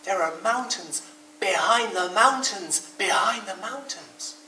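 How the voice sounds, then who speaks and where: A man's voice: fairly high pitch, expressive, slow pace, echoey room, far from the mic, slight background noise, pitched above the performer's own voice, low-quality recording. A man voicing a grumpy, stubborn young male rabbit with an honest streak in a children's story. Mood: awed. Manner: blustering when cross, hushed when awed.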